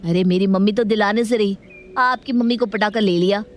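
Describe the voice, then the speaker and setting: A woman voicing a sly, wheedling schoolboy in an animated moral story. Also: mid-range voice, fairly quick, natural voice. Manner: pleading, sly. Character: cheeky, wheedling schoolboy outwitting his elders.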